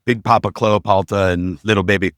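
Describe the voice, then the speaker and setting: A man's voice: on the low side, steady tone, a little fast, no echo. A man hosting a podcast, chatting with winemakers on site at a wine tasting with upbeat questions. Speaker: podcast host. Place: busy indoor tasting event.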